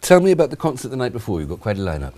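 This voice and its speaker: Male broadcast interviewer: lively intonation, brisk delivery, chatty prompts and questions. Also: medium pitch. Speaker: TV news interviewer.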